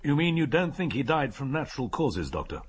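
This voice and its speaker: Police inspector, dry and sardonic, husky, animated intonation, blunt questions, radio drama. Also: male voice, medium pitch, a little fast. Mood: dry, questioning.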